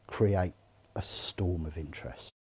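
A middle-aged man who speaks for an auction house, answering interview questions with enthusiasm and measured pauses, in an indoor showroom. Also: low-pitched, background noise. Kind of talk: interview answers about collectibles.

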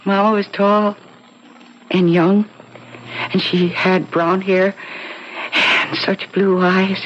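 An American actress playing a dreamy, childlike young wife in a radio drama, with a wistful, halting manner. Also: female voice, medium pitch, little pitch movement, close to an everyday voice. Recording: muffled, noisy old radio broadcast.

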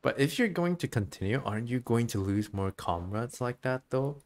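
A streamer giving casual, chatty commentary while playing a game, musing out loud in an informal way. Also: medium pitch, lively intonation, moderate pace. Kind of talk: casual game livestream commentary, reading dialogue aloud.

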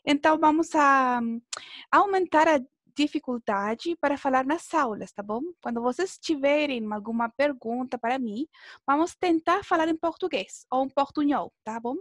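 High-voiced woman teaching an online class. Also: moderate pace, dry recording, studio quality. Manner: encouraging, checking with tag questions, prompting pupils. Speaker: language teacher. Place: indoor room, online video class.

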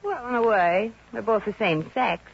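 A woman's voice: a little high, normal speed, vocal fry, husky. An actress playing a plucky, wisecracking, broke showgirl in a 1950s radio comedy, with a brassy, wry, slangy way of talking. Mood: sassy. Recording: dull, noisy 1950 radio recording.

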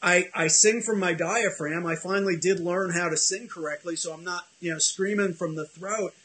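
High-voiced man rambling on a video call. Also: steady tone, moderate pace, a bit bright. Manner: rambling, self-deprecating, many restarts. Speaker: host. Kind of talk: casual chat on a video call. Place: home office with bookshelves.

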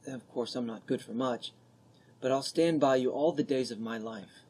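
An actor plays an ardent young gentleman in a radio drama. His voice is soft-edged and mellow, with a husky grain. He speaks earnestly and pleadingly, like a boy in love. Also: mid-range voice, normal speed, lightly acted. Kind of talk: old-time radio drama acting.

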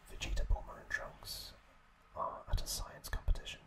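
A man whispering breathily as an ASMR host, recapping episodes in an informal, halting way. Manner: slow, halting, informal explaining.

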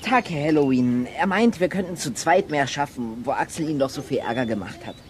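A man's voice: on the high side, animated, fairly quick, crowd noise, lightly acted. An actor playing a curious, cheeky, articulate boy detective in a children's radio play. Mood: curious, uneasy. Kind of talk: German audio drama acting. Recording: clearly noisy background.

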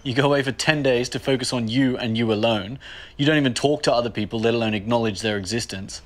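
Fast, sweary Australian man ranting. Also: medium pitch. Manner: blunt, sweary, sarcastic rant. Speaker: comedy sketch character. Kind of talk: scripted comedy sketch.

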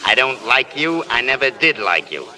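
An actor playing a tough, bitter, sarcastic Hollywood press agent in the style of 1930s radio drama. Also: male voice, mid-range voice, sing-song, moderate pace, natural voice, rough recording. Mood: contemptuous. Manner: sarcastic, wisecracking, contemptuous. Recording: old radio broadcast, crowd chatter behind.

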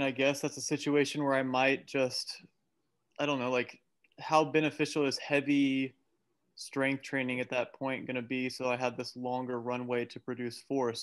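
Mid-pitched man thinking aloud. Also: fairly bright tone. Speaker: coach. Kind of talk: casual explanation. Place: indoors on a group video call.

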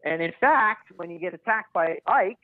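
A man with a somewhat high voice talks casually as a guest on a discussion show. He feels his way through ideas out loud, pausing and hesitating. The sound is dry, with little room on it and a slightly narrowed, radio-like tone. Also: lively intonation, no room sound. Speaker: podcast guest, writer. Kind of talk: casual conversational analysis.

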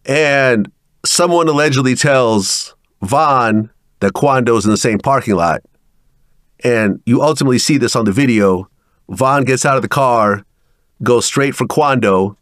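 A man conducting an interview, recapping and questioning in a measured, matter-of-fact way. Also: mid-range voice, fairly bright tone, no echo, clean studio sound.

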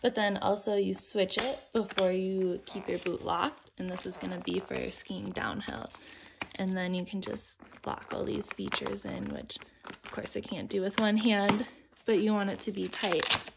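A young woman talks through her ski gear in a relaxed, friendly how-to, explaining each step as she handles it. Her voice sits at a middle pitch. A faint hiss and the click of equipment sit under her voice. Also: unhurried, creaky. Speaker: outdoor gear vlogger. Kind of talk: casual how-to demonstration.

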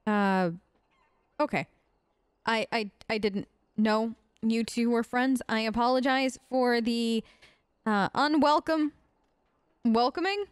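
A woman's voice: a little high, slow pace, long pauses, clean tone, dry recording. A woman hosting a game let's play, improvising in character in a casual, polite and hesitant way. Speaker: gaming video host.

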